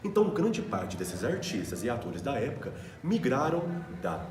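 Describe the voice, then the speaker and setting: A man's voice: medium pitch, wildly swooping pitch, moderate pace. A man with a Brazilian Portuguese accent presenting a student radio program as co-host, in a semi-scripted style. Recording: roomy echo, faint background noise.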